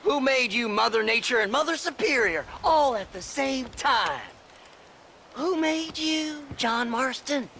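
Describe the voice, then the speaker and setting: An actor voicing an unhinged, giddy Western eccentric with a rural American drawl in a video game. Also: male voice, very high voice, animated, unhurried, creaky, sharp tone, slight background noise, heavily acted. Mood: manic, scornful. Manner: theatrical, drawling, wildly swooping delivery. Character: unhinged, scrawny male grave-robbing eccentric, giddy and erratic. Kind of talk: video game cutscene acting.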